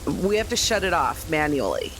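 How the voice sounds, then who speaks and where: A man's voice: fairly high pitch, sing-song, normal speed, fairly bright tone, a little hiss or hum. A man playing a tabletop game, speaking casually with the others.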